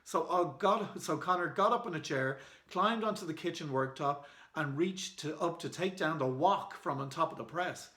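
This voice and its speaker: A man's voice: a little high, normal speed, hardly any pauses, pushed and bright. An Irish man reading aloud in a children's storytime style, as an author and illustrator. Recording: echoey room.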